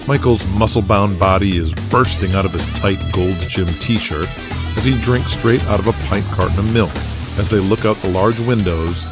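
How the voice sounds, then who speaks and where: A man reading scripted narration for an audio drama as its narrator. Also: on the low side.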